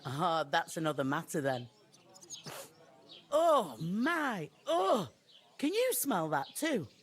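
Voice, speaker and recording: An actress playing a gruff, blunt medieval tavern keeper who scolds her guests. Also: on the low side, big pitch swings, slow, exaggerated voice. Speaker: innkeeper.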